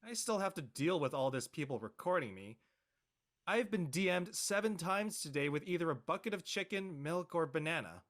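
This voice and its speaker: A man playing an exasperated, reluctant high-school boy in an amateur script reading, with a whiny, protesting manner. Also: expressive, normal speed, fairly bright tone. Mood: fed up. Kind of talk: amateur audio drama table read.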